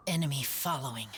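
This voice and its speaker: An actor voicing an earnest, shy wolf-raised boy in a video game, speaking in halting, broken simple phrases. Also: very deep voice, slight background noise. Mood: wary.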